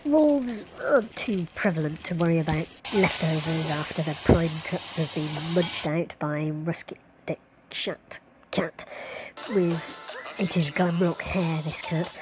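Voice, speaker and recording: A woman's voice: deep voice, measured pace, over music, rough recording. A woman reading wry, wordy scripted narration with arch, playful and florid phrasing.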